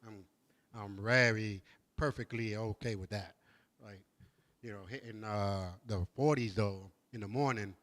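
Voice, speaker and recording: A man from New York hosts a relaxed talk show from a studio. He chats loosely, laughs easily and stumbles over repeated words as he talks. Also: fairly low pitch, moderate pace. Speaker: host. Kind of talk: casual chat.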